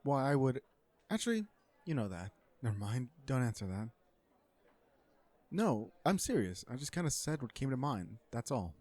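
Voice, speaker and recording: A mellow, relaxed male voice of middling pitch acted by a man. He is a villain out on a blind date, blunt and earnest yet socially awkward. He hesitates, then explains himself in deadpan, legal-minded fashion, as in a romantic comedy audio roleplay. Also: moderate pace, long pauses. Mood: flustered, blunt.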